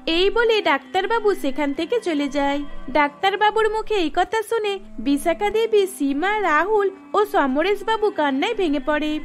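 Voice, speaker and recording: A woman narrating a cartoon story in an even storytelling manner. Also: very high-pitched, normal speed, faint music behind.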